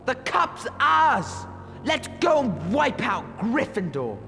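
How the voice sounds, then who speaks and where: A man's voice: very high-pitched, very animated, takes it slowly, creaky, pushed and bright, long reverb tail, faint music behind, full character voice. An actor playing a scheming, gloating schoolboy henchman in a video game, with a conspiratorial manner.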